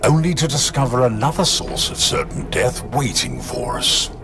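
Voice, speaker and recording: An actor playing a weary tech marine, dry and sarcastic, grumbling about the trouble around him. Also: male voice, medium pitch, expressive, moderate pace, close to an everyday voice. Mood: sardonic. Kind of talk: game cutscene voice acting. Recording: with loud score music behind.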